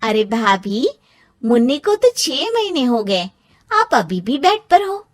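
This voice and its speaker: A woman voicing a confident young sister-in-law with a needling manner in an animated moral story. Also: on the high side, takes it slowly, dry recording, clean studio sound. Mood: chirpy, teasing.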